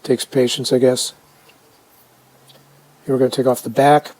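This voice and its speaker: A man casually narrating a hands-on restoration video, unhurried and halting as he thinks aloud. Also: medium pitch, flat delivery, a little mellow, rough recording. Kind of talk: casual narrated how-to commentary.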